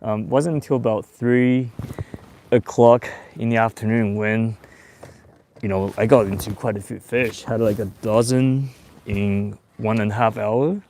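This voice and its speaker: A man in his forties who grew up in Taiwan and Australia, hosting a casual fishing livestream from a boat on a lake in a relaxed, chatty way. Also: fairly low pitch, unhurried, a little hiss or hum. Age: 40s. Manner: relaxed and chatty, with bursts of excitement when a fish bites. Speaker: fishing show host.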